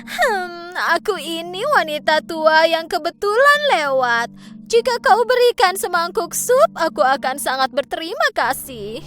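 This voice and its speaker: A woman's voice: very high voice, big pitch swings, measured pace, a bit bright, faint music behind, exaggerated voice. A woman voicing the evil queen disguised as a coaxing old woman in a cartoon dub. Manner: coaxing, sweet-talking.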